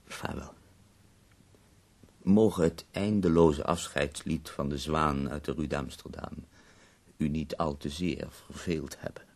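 The husky, very low-voiced invalid poet of a radio play, delivering weary, self-mocking irony from his sickbed. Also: fairly even intonation, slow, exaggerated voice. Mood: weary, bitter. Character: ailing, bitterly ironic, bedridden male poet. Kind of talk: radio drama acting.

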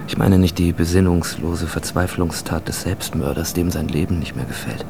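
An actor playing a calmly menacing, philosophising stranger in a radio drama, deliberate, probing and insistent. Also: male voice, low-pitched, creaky, background noise. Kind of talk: German radio drama acting.

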